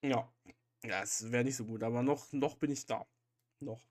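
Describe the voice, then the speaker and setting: A man's voice: medium pitch, steady tone, moderate pace, darkish tone. A man hosting a podcast, chatting casually and informally. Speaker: podcast host.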